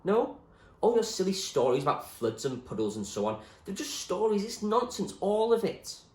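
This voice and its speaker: Man voicing a desperate high-pitched storybook girl. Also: big pitch swings, normal speed, darkish tone, reverberant. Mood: exasperated. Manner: urgent, exasperated pleading. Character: desperate, frustrated girl urging a friend to flee. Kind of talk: acted storybook dialogue.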